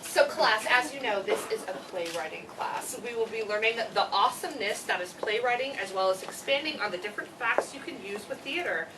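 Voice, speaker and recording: Heard from across a room through clear background noise, a woman acts out a quirky female teacher in a stage reading. Her voice is fairly high and her delivery is effusive and overenthusiastic. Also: lively intonation, a little fast, few pauses, close to an everyday voice. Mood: gushing. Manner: effusive, gushing. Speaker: actor in a staged play reading. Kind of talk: live theatre play reading. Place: read before a live audience, far camera. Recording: noisy, distant room recording.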